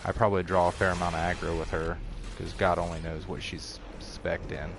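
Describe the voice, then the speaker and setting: A man's voice: sits low, flat delivery, brisk, noisy background. A man giving rambling, self-deprecating commentary while streaming a video game. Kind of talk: casual gameplay commentary.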